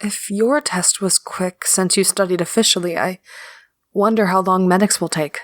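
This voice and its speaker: A woman's voice: on the low side, fairly even intonation, moderate pace, no room sound, lightly acted. A woman playing an irritable alien shapeshifter prisoner in a sci-fi audio drama, dry and curt. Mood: dry, wary.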